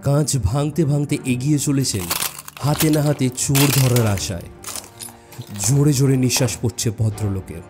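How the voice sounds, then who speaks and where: A man narrating a suspense story in a measured, dramatic way with deliberate pauses. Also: medium pitch. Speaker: audiobook narrator. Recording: music bed behind the voice. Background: background music.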